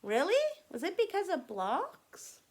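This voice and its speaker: A woman's voice: very high voice, sing-song, unhurried, voice pushed up, heavily acted. A woman voicing an earnest, curious little boy in a picture book. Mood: excited, curious.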